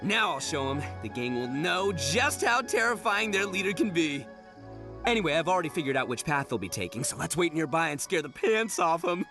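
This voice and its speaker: A boastful young gang leader in a video game. He is brash, childish and theatrical, with very lively swings in pitch. Music plays clearly behind him. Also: a little fast. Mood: cocky. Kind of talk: English video game dub.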